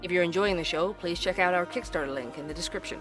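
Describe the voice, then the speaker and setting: A man playing an earnest, dogged investigative podcast host in an audio drama, with a crisp, measured delivery. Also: sits high, music in the background, natural voice. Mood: businesslike. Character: male investigative podcast host, dogged, weary young employee. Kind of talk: scripted podcast narration and audio drama dialogue.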